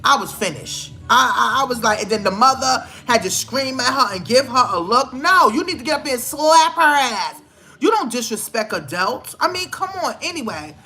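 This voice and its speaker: A woman's voice: on the high side, lively intonation, moderate pace, pushed and bright. A woman giving blunt, scolding celebrity gossip commentary in a vlog, with emphatic outbursts. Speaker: vlogger.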